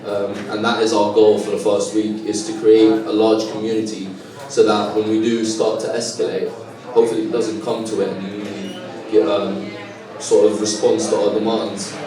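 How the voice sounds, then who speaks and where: A young man who grew up in Lebanon, answering interview questions in a halting, earnest way with many fillers. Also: on the low side, little pitch movement, recorded from a distance, music in the background. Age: young adult. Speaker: student organiser. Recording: echoey room, voice from off mic.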